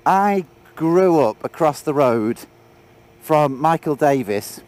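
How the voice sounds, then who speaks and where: A man's voice: a little high, lively intonation, slow pace, a little mellow. Bunged-up man chatting on a motorbike. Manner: joking, chatty storyteller. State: congested with a cold. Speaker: vlogger riding a motorbike. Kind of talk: casual chat while riding. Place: outdoors on a moving motorbike. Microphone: action camera on the bike.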